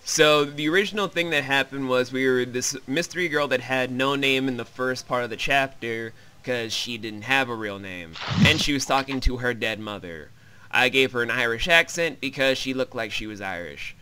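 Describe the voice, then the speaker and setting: Bright, clear-voiced man recapping a game. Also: mid-range voice, normal speed. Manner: wry, sweary, rambling. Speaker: let's play host. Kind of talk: casual visual novel commentary and recap.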